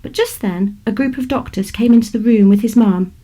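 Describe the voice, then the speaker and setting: A woman with a British accent reading a children's story aloud in an expressive storytelling manner. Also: a little high, fairly quick. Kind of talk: scripted children's story narration.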